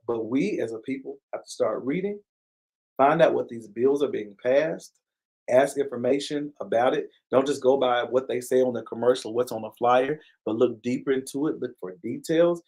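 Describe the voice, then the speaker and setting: A man's voice: mid-range voice, rough recording. A man hosting a live online talk show, speaking earnestly in a preacher-like cadence, repeating key phrases and stopping to thank viewers by name. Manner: pauses to give viewers shout-outs. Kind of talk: casual live-stream commentary.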